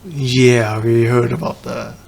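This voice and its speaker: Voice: medium pitch, flat delivery, slow, creaky, darkish tone. Casual game streamer with offhand reactions. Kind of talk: casual gameplay commentary.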